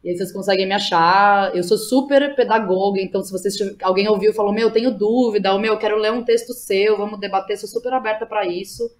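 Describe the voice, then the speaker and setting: A woman's voice: mid-range voice, steady tone, fast, hardly any pauses. A woman with a Brazilian accent speaking casually as an interview guest, colloquial, effusive and emphatic. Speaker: podcast guest, playwright and educator.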